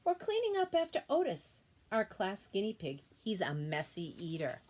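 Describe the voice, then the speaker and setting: Expressive woman reading aloud to children. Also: mid-range voice, normal speed. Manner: expressive reading, gentle simple explanations for young listeners. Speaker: teacher reading a picture book aloud. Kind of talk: children's read-aloud with explanatory asides.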